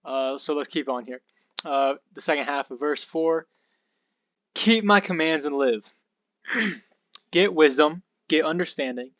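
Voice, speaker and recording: A young man with a slightly hoarse voice hosts a podcast, talking through a Bible passage in a relaxed, rambling way. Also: a little high, a little slow, long pauses, dry recording, muffled recording. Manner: relaxed and rambling, with fillers and asides. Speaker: podcast host. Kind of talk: casual Bible study talk.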